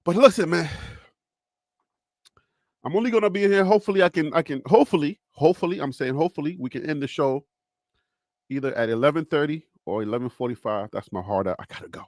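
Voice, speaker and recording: A man's voice: a little high, fast talker, long pauses, a little mellow, no echo, studio quality. A man with a Liberian accent hosting a solo sports livestream, joking and repeating himself as he talks to his chat. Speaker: sports show host.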